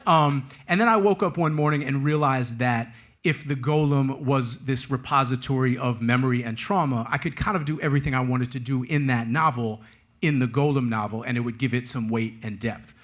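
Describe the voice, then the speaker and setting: A man's voice: medium pitch, brisk, a bit bright. A man speaking as a guest author at a live talk, telling stories in a wry, casual, slangy way. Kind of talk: conversational storytelling at a live book talk.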